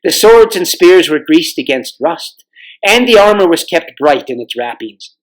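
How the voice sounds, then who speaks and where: A man narrates a children's novel as a read-aloud. His voice sits a little higher than usual for a man, and he delivers it as storybook narration. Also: big pitch swings, a little slow, poor audio. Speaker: volunteer storybook reader.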